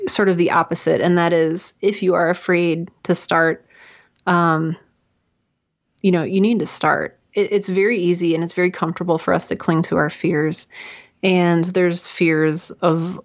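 Chatty low-voiced woman on lo-fi audio. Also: a little slow. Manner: chatty and animated, with frequent fillers. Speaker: guest, writer and audio drama creator. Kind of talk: casual interview answers giving advice.

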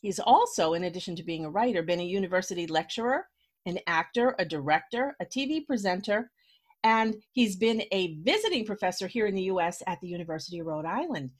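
A woman's voice: on the low side, expressive, fairly quick. A woman hosting a conversational interview, explaining things in an encouraging, chatty way. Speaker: podcast host, writer.

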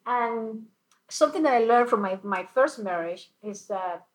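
A woman's voice: on the high side, animated, normal speed. Laughing woman guest. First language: Spanish. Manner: laughs often, talks with restarts. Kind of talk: casual interview chat.

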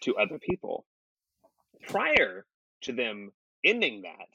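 A man in his late twenties with a bright, forward voice, talking loosely and circling back on himself with lots of "like" and restarts. The sound is close and dry, a little dull in the highs. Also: wildly swooping pitch, slow, breathy edge, no room sound. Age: late 20s. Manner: rambling with many restarts and fillers, swears casually. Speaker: podcast co-host. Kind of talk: casual chat.

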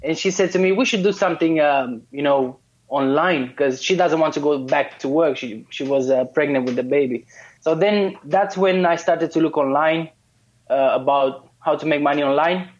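A man's voice: a little high, moderate pace. Hesitant man, muffled audio. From Romania. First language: Romanian. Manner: hesitant, many fillers and restarts, laughs often. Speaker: guest, course student. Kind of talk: casual interview.

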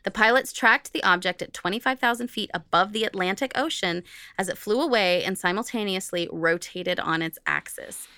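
A woman's voice: mid-range voice, normal speed, sharp tone, dry recording, clean studio sound. A woman co-hosting a podcast, reading from notes in an informal, jokey way and carefully repeating technical terms. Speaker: podcast co-host.

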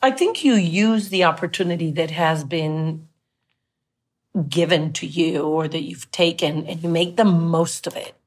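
A middle-aged woman from Colombia, Spanish her first language, talks through her early working years as an interview guest. Her voice sits on the low side, and she tells her story earnestly, acting out the things people said to her. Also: a little slow. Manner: reflective, earnest, recounts with quoted dialogue.

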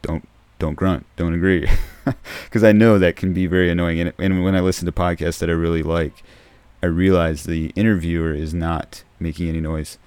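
A man hosting a podcast in a casual, soft-spoken way, with a low, dark voice that tends toward mumbling. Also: flat delivery, normal speed, smooth, no room sound. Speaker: podcast host. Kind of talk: casual solo podcast talk. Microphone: close podcast microphone.

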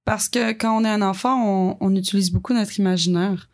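A woman's voice: medium pitch, fairly even intonation, fairly quick, darkish tone. Hesitant young Quebec woman. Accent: Quebec French. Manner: hesitant, many fillers and restarts. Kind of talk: casual discussion of a children's book project.

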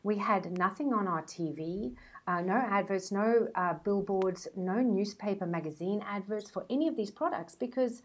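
A woman's voice: mid-range voice, moderate pace. A woman in her forties with a South African accent, telling stories as a podcast guest. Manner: chatty, storytelling. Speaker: guest, brand strategist. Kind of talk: podcast interview.

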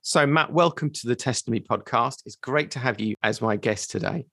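A man hosting a faith podcast, reading a scripted intro and then asking measured, courteous questions. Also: mid-range voice, fairly bright tone, no room sound, clean studio sound. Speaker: podcast host.

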